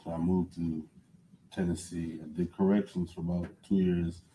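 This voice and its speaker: Deep-voiced man with a slight Jamaican accent. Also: little pitch movement, normal speed, a little hiss or hum, low-quality recording. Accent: slight Jamaican on some words. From Connecticut, USA. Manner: short, quiet answers. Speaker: witness, former police officer. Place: courtroom witness stand.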